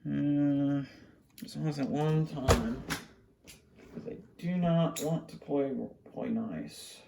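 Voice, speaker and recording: A man with a gravelly, creaky voice giving casual unscripted commentary, muttering to himself while he works with his hands. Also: fairly high pitch, fairly even intonation, slow pace, background noise. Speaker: hobbyist vlogger showing a radio collection. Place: small indoor room at a cluttered desk.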